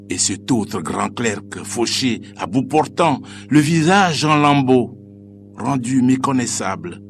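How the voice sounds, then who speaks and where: A man recites poetry in a solemn, declamatory way, with measured phrasing and deliberate pauses. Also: on the low side. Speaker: poet reciting own poem. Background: music.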